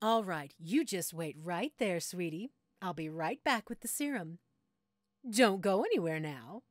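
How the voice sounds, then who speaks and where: Woman acting a gleeful, animated female mad scientist. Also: on the low side, a little slow, dry recording. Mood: mock-sweet, menacing. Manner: sweetly condescending, teasing, punctuated by cackling. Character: gleeful, sadistic female mad scientist toying with her captive. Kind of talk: audio roleplay acting addressed to the listener.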